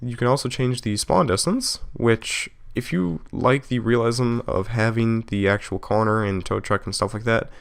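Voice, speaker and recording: A man narrating a software tutorial casually, explaining step by step in an informal way and correcting himself as he goes. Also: mid-range voice, moderate pace, vocal fry, few pauses. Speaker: video tutorial host.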